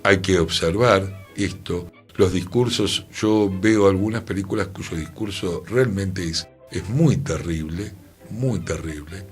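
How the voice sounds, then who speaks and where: A man's voice: deep voice, slow pace, faint music behind. A man, co-hosting a radio show with reflective commentary and repeating phrases for emphasis. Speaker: radio co-host. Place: radio studio.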